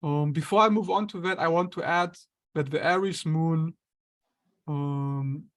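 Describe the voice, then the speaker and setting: A man, an astrologer guest, explaining ideas in a relaxed conversational way, sometimes acting out inner voices. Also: a little high, measured pace. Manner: explains with acted-out inner voices and light laughs.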